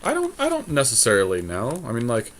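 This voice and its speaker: A man co-hosting a game podcast, casually thinking his plays out loud with a friend, joking and swearing. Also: medium pitch, wildly swooping pitch, brisk, a little hiss or hum. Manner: profane, joking; deliberating aloud, thinking through plays. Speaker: podcast co-host playing a card game draft.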